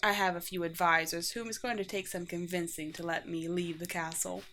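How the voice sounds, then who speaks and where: A woman's voice: fairly low pitch, fairly even intonation, a little fast. Woman playing a courteous, teasing vampire queen, noisy background. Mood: gracious, playful. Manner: formal, old-fashioned, gently wry phrasing. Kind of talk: roleplay audio drama, in-character monologue. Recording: clear background noise with ambience.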